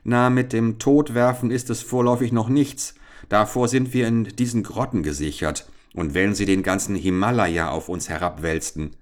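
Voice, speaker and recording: A man's voice: fairly low pitch, normal speed, voice pushed up, lightly acted. A man reading aloud and voicing a cool-headed, sardonic teenage boy adventurer in a storybook tale. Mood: confident. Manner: mocking, confident, emphatic.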